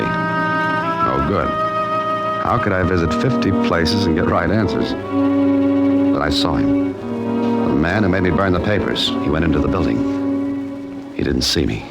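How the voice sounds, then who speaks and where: An actor playing a wry, cool-headed amateur sleuth, with dry, clipped delivery in the style of an old-time radio mystery. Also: male voice, very deep voice, gravelly, exaggerated voice, poor audio. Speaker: narrator and lead character. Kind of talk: old-time radio mystery acting, hardboiled first-person narration.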